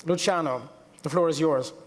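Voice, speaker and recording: A middle-aged man moderating a conference panel, formal with quick self-corrections and light asides. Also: on the high side, big-room sound. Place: large conference auditorium.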